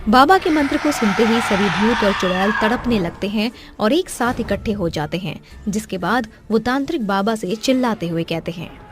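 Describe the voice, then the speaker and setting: A woman's voice: mid-range voice, animated, normal speed, few pauses, music in the background. A woman reading scripted narration for a cartoon horror story.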